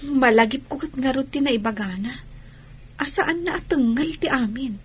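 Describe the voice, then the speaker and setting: A woman's voice: high voice, animated, moderate pace, breathy edge. A woman playing an earnest, fretful young shop worker with a Filipino accent in a radio drama.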